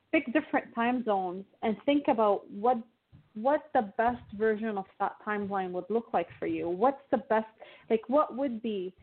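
Woman from Lebanon on a tinny line. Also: on the high side. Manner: thoughtful, explanatory, with frequent restarts. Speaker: guest financial therapist. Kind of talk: casual interview talk.

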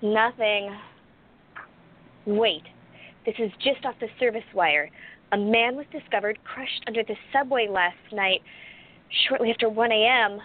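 A woman as a high-voiced indignant lady sleuth. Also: measured pace, pauses often, narrow, tinny audio. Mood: worried, questioning. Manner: dramatic, exclamatory. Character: indignant, skeptical young woman amateur sleuth. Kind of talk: radio play acting.

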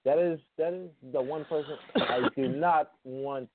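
A man talks bluntly and defensively in casual chat, his voice a little high. The sound comes through thin and narrow, like a phone call. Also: unhurried, a crowd in the background. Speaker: guest on call. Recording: tinny call audio.

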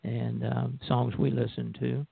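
A man's voice: medium pitch, flat delivery, unhurried, creaky, breathy edge, no room sound. Older man on a tinny phone line. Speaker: radio show host. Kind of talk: casual chat. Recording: phone-like, narrow band audio.

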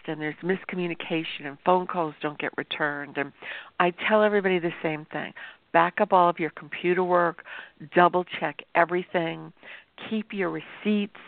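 A woman astrologer hosting a show, talking to viewers in a chatty, explanatory way, with a sore throat that makes her voice gravelly. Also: on the low side. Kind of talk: informal talk-show monologue. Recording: tinny phone-like dry sound.

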